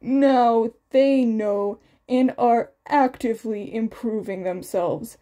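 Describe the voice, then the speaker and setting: Woman as a matter-of-fact detective, slow-spoken. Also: a little high, mellow. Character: weary, matter-of-fact male police detective.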